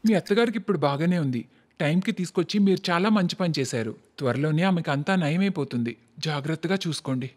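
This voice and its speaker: Reassuring doctor, slightly high voice. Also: male voice, lively intonation, fast talker, a little mellow. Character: reassuring, courteous male hospital doctor. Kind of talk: cartoon moral story voice acting.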